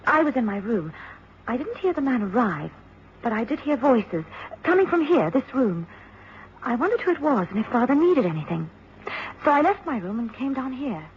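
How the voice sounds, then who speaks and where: An actress playing a well-spoken, composed young English daughter in mourning, in a radio drama. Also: female voice, a little high, sing-song, moderate pace. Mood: anxious recounting. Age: young adult. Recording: dull, faintly noisy old radio recording.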